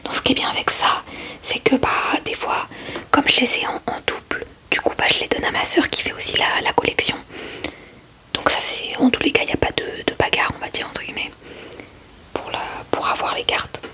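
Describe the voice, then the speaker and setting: A woman's voice: a little fast, bright, forceful tone. Whispering Frenchwoman, close-miked ASMR. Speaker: ASMR host showing a card collection. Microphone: close ASMR microphone.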